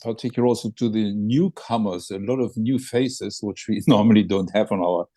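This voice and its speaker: This man speaks in a low, mellow voice. His remarks are measured and analytical, with many fillers between points. The sound is dry and close over a video call. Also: no echo. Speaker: discussion participant. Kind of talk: online discussion remarks.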